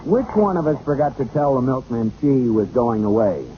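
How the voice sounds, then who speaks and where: An American actor playing a grumbling, easily riled suburban husband in an old radio comedy. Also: male voice, mid-range voice, moderate pace, close to an everyday voice. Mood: puzzled. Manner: grumbling, animated. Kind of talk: 1940s-50s radio comedy acting. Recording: muffled, hissy old radio recording.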